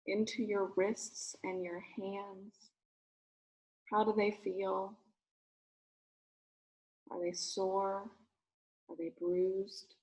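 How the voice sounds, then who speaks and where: Slow-paced woman guiding a meditation. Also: mid-range voice, low-quality recording. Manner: soothing, gentle instructions with long pauses between short phrases. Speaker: rabbi leading a guided meditation. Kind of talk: guided meditation.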